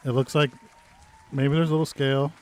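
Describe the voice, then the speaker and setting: A man's voice: mid-range voice, flat delivery, a little fast, darkish tone, some chatter behind. An American man chatting casually as a radio co-host, easygoing and joking, feeling his way through his sentences. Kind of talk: casual chat. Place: radio studio.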